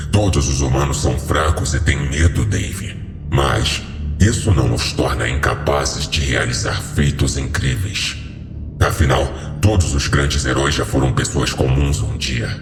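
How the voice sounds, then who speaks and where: An ancient fallen lord of demons speaks from a cavernous, long reverb. The voice is abyssally low and full of gravel, solemn and commanding, every phrase a stately pronouncement for a horror audio story. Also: male voice, full character voice. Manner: solemn, stately, portentous delivery. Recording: heavily reverberant voice over music.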